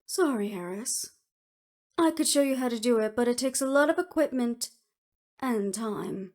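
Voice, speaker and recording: A woman's voice: fairly high pitch, animated, moderate pace, a little mellow, lightly acted. A woman voicing a clever, unruffled imprisoned researcher in an audio roleplay, speaking precisely and with slight superiority. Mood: wry, agreeable. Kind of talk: scripted audio roleplay acting.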